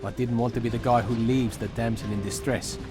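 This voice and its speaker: A man's voice: medium pitch, fairly even intonation, a little fast, over music, natural voice. An actor playing a good-natured young male cop in an audio drama, casual and sardonic, a reluctant hero. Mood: dry, teasing.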